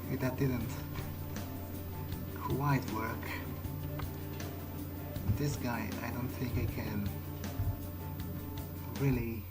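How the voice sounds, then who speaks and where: Halting male gamer musing over music. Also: medium pitch, fairly even intonation. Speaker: gamer roleplaying in character. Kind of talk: in-character playthrough commentary, thinking aloud.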